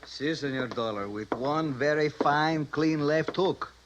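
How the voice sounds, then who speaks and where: A man's voice: a little high, measured pace, close to an everyday voice. An actor playing a sly, amused man in a 1950s radio drama. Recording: lo-fi 1950s radio broadcast with faint hiss.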